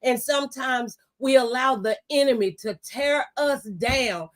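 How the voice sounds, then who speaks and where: A middle-aged woman with a fairly high voice speaks like a conversational preacher. She is emphatic and exhorting, driving her encouragement home with repeated phrases. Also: a little slow. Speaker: talk show panelist giving testimony. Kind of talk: motivational faith testimony, preaching conversationally.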